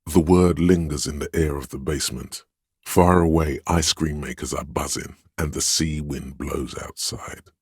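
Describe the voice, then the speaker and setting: A man voicing a domineering inner voice in a video game, commanding, measured, grave and pompous in delivery. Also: fairly even intonation, breathy edge, dry recording, studio quality, full character voice. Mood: grave, narrating. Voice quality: very deep, resonant. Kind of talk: scripted game narration, inner-voice commentary.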